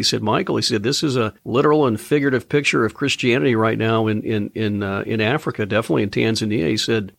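A man's voice: mid-range voice, gravelly, fairly bright tone, no room sound. An American man hosting an interview in a conversational, earnest way, telling stories with emphasis and tag questions. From United States. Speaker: radio show host interviewing a guest. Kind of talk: conversational interview and personal storytelling.

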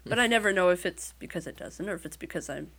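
A woman's voice: fairly low pitch, fast talker, a bit bright. A woman with a Midwestern American accent, talking casually as an interview guest and telling things in a wry, lightly joking way. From Midwestern United States.

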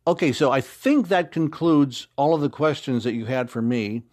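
A man answers interview questions in a casual, conversational way. He talks expressively and rambles like a joking storyteller, at an ordinary middle pitch. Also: normal speed, a little mellow. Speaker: interview guest, audio drama writer and actor.

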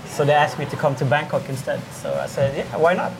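A man's voice: on the high side, fairly quick, gravelly. Easygoing Swedish-raised man in his thirties. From Sweden. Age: 30s. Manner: easygoing, short answers, many uh fillers. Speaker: bartender, guest. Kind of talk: casual interview chat. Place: busy bar. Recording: noisy bar background.

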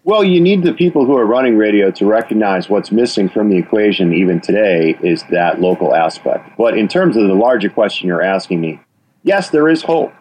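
A man's voice: fairly low pitch, animated, fairly quick, a little mellow, a little hiss or hum, poor audio. A man from Wisconsin with a Wisconsin accent, talking as an interview guest and stressing his points with repeated phrases. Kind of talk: interview about radio policy.

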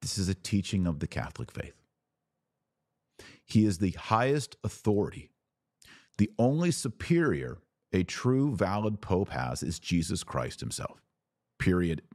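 An American man hosting a podcast, giving conversational commentary in an emphatic way with deliberate phrasing and pauses. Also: low-pitched, very animated, darkish tone, dry recording, clean studio sound. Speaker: podcast host. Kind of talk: conversational commentary answering listener questions.

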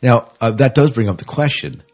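A man's voice: mid-range voice, a little fast, creaky, darkish tone. A man hosting a podcast in casual chat, agreeable and prompting his co-host with questions.

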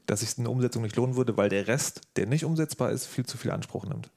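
A man's voice: mid-range voice, fast, gravelly. Guy hosting a podcast, mid voice, loose slangy chat, thinks as he talks. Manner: informal, slangy, thinking out loud. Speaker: podcast host and interviewer. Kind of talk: casual podcast discussion.

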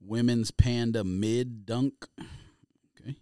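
A man's voice: mid-range voice, fairly even intonation, slow, dark tone, clean tone, dry recording, clean studio sound. An American man co-hosting a sneaker podcast, chatting casually and offhand in slangy language as he comments. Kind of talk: casual chat, reading out a list and commenting.

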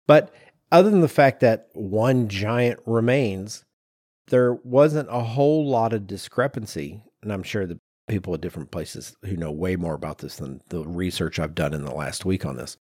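A man's voice: lowish voice, lively intonation, moderate pace, a little mellow, slightly rough, dry recording, clean studio sound. A man co-hosting a podcast, explaining things in a casual, chatty and conversational way. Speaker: podcast co-host. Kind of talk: casual conversational discussion of design objects.

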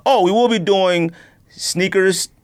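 A man's voice: high voice, slow. An American man co-hosting a casual podcast, bantering in slang and repeating himself for emphasis. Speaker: podcast co-host. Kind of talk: casual bantering chat about sneakers.

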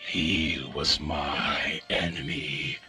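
A man voicing a cold, weary alien conqueror from deep space, speaking slowly and gravely. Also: steady tone, sharp tone, faint music behind, exaggerated voice. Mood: cold, solemn. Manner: slow, grave and solemn. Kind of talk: storybook reading.

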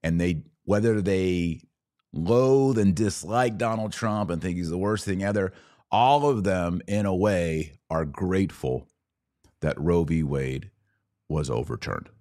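A man hosting a podcast, giving live political and religious commentary in an emphatic, deliberate way, repeating phrases for stress. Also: low-pitched, mellow.

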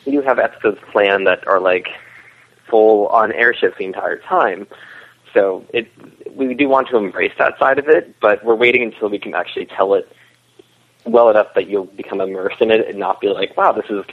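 A man with a fairly low voice speaks as a guest in a casual interview, explaining things conversationally. His voice comes through a thin, phone-like connection. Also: moderate pace. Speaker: interview guest, web series creator. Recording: tinny phone-like line.

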